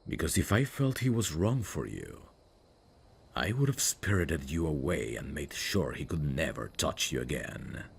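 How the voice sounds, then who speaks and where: A man playing a brooding dark fantasy prince in an intimate ASMR roleplay, tender and lovesick, with a husky, gravelly voice and a hushed, formal delivery. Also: very deep voice, big pitch swings, a little hiss or hum, full character voice. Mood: earnest, pleading. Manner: slow, hushed, formal and halting. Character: brooding evil prince, tender and lovesick toward old friend. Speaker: voice actor.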